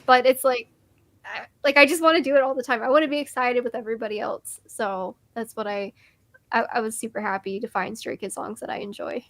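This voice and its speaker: A woman's voice: fairly high pitch, steady tone, fairly quick, fairly bright tone, no room sound. A young woman co-hosting a casual music review chat, enthusiastic and gushing, talking with lots of likes and restarts while losing her voice.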